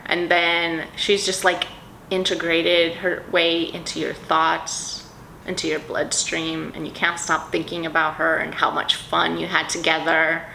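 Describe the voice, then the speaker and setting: A woman's voice: lowish voice, creaky, bright, forceful tone. A woman in casual vlog chat, bubbly and rambling, describing scents in a dreamy way with lots of fillers. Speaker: perfume vlogger. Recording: echoey room with faint ambient noise.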